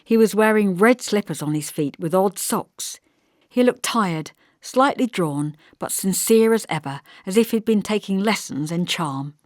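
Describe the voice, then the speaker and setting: A woman's voice: mid-range voice, lively intonation, measured pace, darkish tone, no echo, studio quality. A woman reading scripted first-person literary narration as an audiobook narrator.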